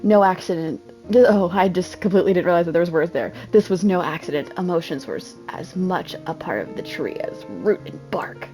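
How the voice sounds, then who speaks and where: A woman's voice: lowish voice, expressive, a little fast, hardly any pauses, mellow. Woman reading dramatically with casual asides, music behind. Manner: self-deprecating, often breaking off to comment on her reading. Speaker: gamer reading a video game's story aloud.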